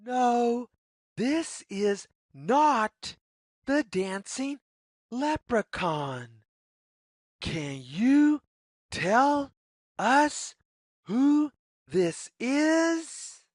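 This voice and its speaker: A woman reading a story aloud for young children, phrasing deliberately and putting questions to the listener. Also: fairly high pitch, sing-song, a little mellow, no echo, clean studio sound. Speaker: children's read-aloud narrator.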